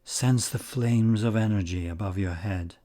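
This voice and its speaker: A man leading a guided meditation in calm, measured short phrases with long pauses. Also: fairly low pitch.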